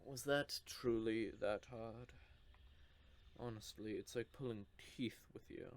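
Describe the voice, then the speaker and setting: A man's voice: mid-range voice, slow. A man voicing a teasing, possessive male vampire in an audio roleplay, with a smug, drawling manner. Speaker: voice actor.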